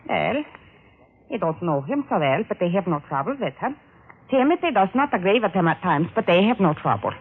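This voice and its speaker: A woman playing a nervous, eager housemaid with a foreign accent and halting, broken English in radio drama. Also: lowish voice, big pitch swings, breathy edge, exaggerated voice. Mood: earnest recalling. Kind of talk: 1940s-50s radio drama acting. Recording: tinny, phone-like old radio recording.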